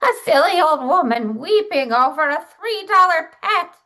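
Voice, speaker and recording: A woman playing a frantic, guilt-ridden old mother in a halting, dramatic read for a live comedy script show. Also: sits high, animated, bright, forceful tone, voice pushed up. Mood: wounded, defiant. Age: older.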